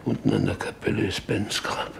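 An actor playing a broken, guilt-ridden rancher in a radio play, halting and weary, sinking into whispers. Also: male voice, slight background noise, lightly acted. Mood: desolate, pleading. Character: broken, guilt-ridden male rancher facing his end. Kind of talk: German radio play acting.

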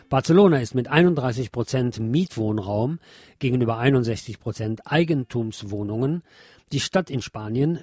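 A man presenting a scripted news magazine on the radio as narrator, with a husky voice. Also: medium pitch, a little mellow, dry recording.

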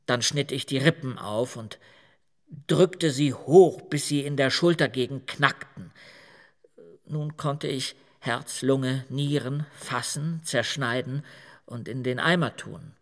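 A man's voice: mid-range voice, slow. Radio play killer: male, boastful, unrepentant, matter-of-fact delivery, big hall reverb. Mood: cold, confessional. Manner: matter-of-fact, self-important.

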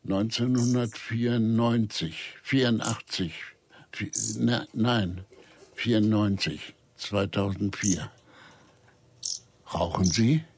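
An actor playing a confused elderly father in a radio crime drama, brusque and halting, forgetful and husky. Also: fairly low pitch, no room sound. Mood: muddled, brusque. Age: older. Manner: halting, forgetful, repeating himself.

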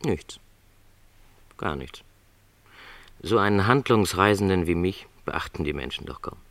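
A gentle, modest salesman in middle age in a radio play. His voice sits low and moves expressively up and down as he reassures with earnest, formal phrasing. The sound is dry and intimate. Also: lively intonation, a little slow, creaky, no room sound, full character voice. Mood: modest, insistent. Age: middle-aged. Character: gentle middle-aged travelling salesman, modest and quietly content. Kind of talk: literary radio play dialogue.

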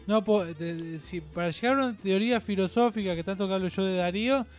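A man with an Argentine accent and a high, clean voice co-hosts a radio show. He rambles through his opinions, stumbling, doubling back and repeating himself. Music plays behind him. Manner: halting, digressive, repeats himself.

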